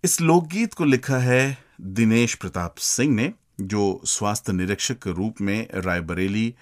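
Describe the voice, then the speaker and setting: A man's voice: fairly low pitch, unhurried. A man with an Indian accent co-hosting a scripted radio show in a theatrical, enthusiastic manner. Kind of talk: scripted radio presenting and banter.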